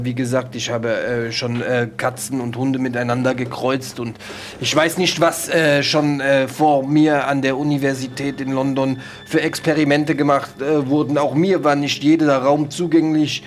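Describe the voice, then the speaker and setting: A man's voice: medium pitch, little pitch movement, moderate pace, slight background noise, close to an everyday voice. A man voicing a pompous, eccentric French doctor in a horror audio drama, formal, hesitant and rambling. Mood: musing, hesitant.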